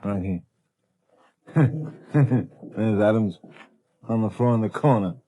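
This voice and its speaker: An actor playing a rough, cocky henchman with slangy, excitable tough-guy talk in a 1930s radio drama. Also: lowish voice, takes it slowly. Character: rough, cocky crook henchman who panics easily.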